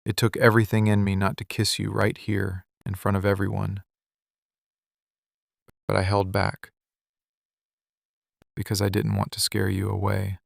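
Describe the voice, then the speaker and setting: Guy doing a possessive mafia boss for boyfriend asmr, really slow, intense and weary, super dry close sound. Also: on the low side, completely flat delivery, gravelly, no echo. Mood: longing, intense. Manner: slow, deliberate, intimate delivery in short phrases. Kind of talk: scripted boyfriend ASMR roleplay.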